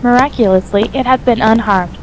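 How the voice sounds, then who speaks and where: A woman's voice: on the high side, brisk, noisy background. A woman reading scripted story narration as the narrator of an audio drama.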